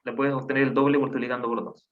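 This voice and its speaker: A man's voice: mid-range voice, little pitch movement, quick pace. A man teaching a math class online, patiently counting aloud and asking the class questions. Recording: dull lo-fi online class audio.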